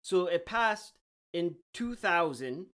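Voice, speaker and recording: A man's voice: high-pitched, animated, slow, a bit bright. A man co-hosting a casual podcast, explaining topics in a chatty way and laughing easily. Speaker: podcast co-host.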